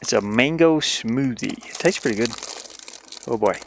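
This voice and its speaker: A man with a middling pitch talks casually, as if streaming to viewers while he works. His delivery is playful and rambling, and he wanders easily from one small aside to the next. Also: lively intonation, moderate pace, vocal fry, slight background noise. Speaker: streamer and indie game developer. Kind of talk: casual livestream chat while working. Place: inside a van.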